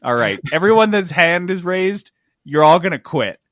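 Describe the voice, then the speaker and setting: A man's voice: high voice, expressive, normal speed, a bit bright, dry recording, studio quality. A man hosting a gaming podcast, explaining news casually in an emphatic, sarcastic, sweary way. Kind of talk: casual comic explaining of game industry news.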